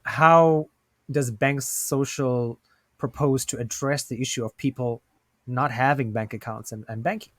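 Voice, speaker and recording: A man's voice: medium pitch, fairly even intonation, a little slow, dry recording. A man from South Africa hosting a podcast interview, asking questions in an enthusiastic, conversational way. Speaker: podcast host.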